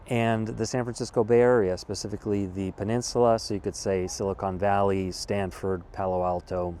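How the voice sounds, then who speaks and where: A deep, low-pitched American man in his thirties talks to the camera outside, reflective and unhurried. He stops to search for words and circles back to rephrase. Faint traffic hums behind him. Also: level tone, a little mellow. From California, USA. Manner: thoughtful, measured, with pauses and restarts. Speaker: vlogger. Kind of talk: casual reflective monologue. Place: outdoors in a wooded city spot.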